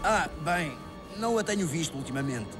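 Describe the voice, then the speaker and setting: A man's voice: on the high side, expressive, fairly quick, over loud music, close to an everyday voice. A man with a European Portuguese accent playing a grouchy, world-weary street-corner local in a comic dub, muttering complaints. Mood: awkward.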